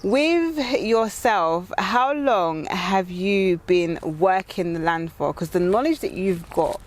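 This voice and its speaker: A woman's voice: fairly low pitch, slow. A woman with a London accent hosting a casual interview, speaking expressively and repeating phrases for emphasis. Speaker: interview host.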